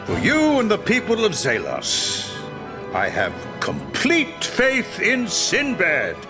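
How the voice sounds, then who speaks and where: An actor playing a grandiose, excitable man in an Arabian adventure audio drama, theatrical and declamatory. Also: high voice, takes it slowly, music in the background, exaggerated voice. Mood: fervent. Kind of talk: melodramatic audio adventure acting.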